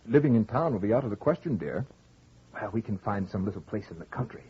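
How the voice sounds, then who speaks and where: An actor playing a young painter husband who tells his tale in literary, measured phrases in an old radio drama. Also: fairly low pitch, animated, slightly rough. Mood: wistful, reflective. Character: young painter husband, wistful storyteller, tender and teasing. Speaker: actor narrating in character. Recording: dull vintage radio broadcast with music.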